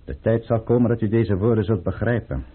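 An actor playing a coolly calculating count and conspirator in a radio drama, speaking formally and deliberately with authority. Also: male voice, on the low side, slightly rough. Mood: solemn, resolute. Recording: muffled old radio play recording.

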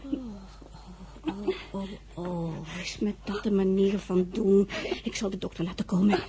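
Woman acting a mellow, motherly, fussing old servant. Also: medium pitch, steady tone, a little slow, a little hiss or hum, natural voice. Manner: fussing, soothing, repeats herself. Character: motherly, fussing older female servant, protective and worldly-wise. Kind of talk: radio drama acting.

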